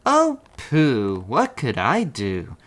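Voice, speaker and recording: A man's voice: mid-range voice, wildly swooping pitch, drawn-out words, fairly bright tone, exaggerated voice. A man voicing a tiny, bold, cheeky gray mouse in a children's storybook. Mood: teasing.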